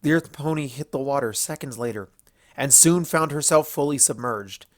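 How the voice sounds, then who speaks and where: A man reading scripted fan fiction as narrator, telling the story in an expressive way. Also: mid-range voice, moderate pace, dry recording, clean studio sound.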